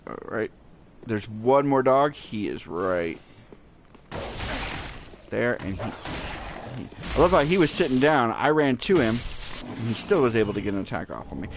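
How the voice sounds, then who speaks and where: Guy talking thru his game on a cheap headset, deep voice, lots of ums, bit of hiss in the back. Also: unhurried, creaky, long pauses. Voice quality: naturally deep voice. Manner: relaxed, rambling, frequent fillers and restarts. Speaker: gaming channel host. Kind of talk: casual gameplay commentary. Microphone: cheap gaming headset. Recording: low-quality headset mic, faint hiss.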